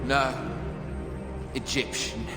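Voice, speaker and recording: An actor playing an arrogant, megalomaniac Roman commander in a video game, taunting and grandiose. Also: male voice, medium pitch, fairly even intonation, extremely slow. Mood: cold menace. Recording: echoey cavernous reverb, loud music.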